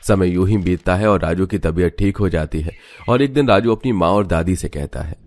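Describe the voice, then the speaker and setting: A man's voice: low-pitched, a little fast, a little mellow. A man reading scripted narration for a children's moral tale as the storyteller.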